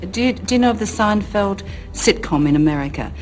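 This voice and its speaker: A woman interviewer putting a question in a tentative, halting way. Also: mid-range voice, expressive, faint music behind.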